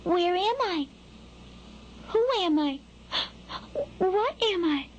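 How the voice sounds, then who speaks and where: A woman's voice: very high voice, expressive, drawn-out words, dull audio, slight background noise, pitched above the performer's own voice, full character voice. A woman voicing a curious, stubborn newly hatched boy bird in a childlike storybook voice. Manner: childlike, sing-song when defiant. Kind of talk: storybook character voice.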